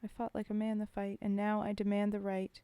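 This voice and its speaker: A woman reading verse in the voice of a rough, common male soldier from the First World War who mourns a fallen comrade, speaking in folksy working-class dialect. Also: mid-range voice, completely flat delivery, brisk, dark tone, dry recording, close to an everyday voice. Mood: wistful. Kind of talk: poetry reading.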